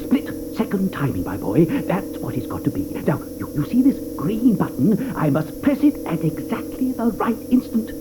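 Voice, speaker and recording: A woman's voice: lowish voice, big pitch swings, moderate pace, few pauses, heavy background noise. An actress playing an eccentric, fussy grown man who is theatrical and stammers when flustered, in a studio radio play with music underneath.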